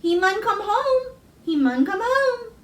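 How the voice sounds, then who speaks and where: A woman voicing a motherly, affectionate Yorkshire countrywoman in a storybook, speaking gently in homely dialect words. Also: extremely high pitch, very animated, a little slow, echoey room, far from the mic, pitched above the performer's own voice, full character voice. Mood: warm, reassuring.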